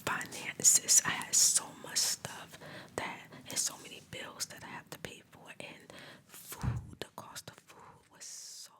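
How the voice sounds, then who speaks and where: A woman's voice: a little slow, pushed and bright. A woman, getting over a cold, telling a rambling story in a fully unvoiced ASMR whisper. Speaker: ASMR channel host.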